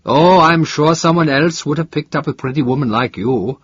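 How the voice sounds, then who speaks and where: A man voicing a middle-aged driver who grumbles about money and is easily flattered, for an audiobook. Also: a little high, moderate pace, slightly rough, dry recording, voice pushed up. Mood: eager. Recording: dull lo-fi, dry.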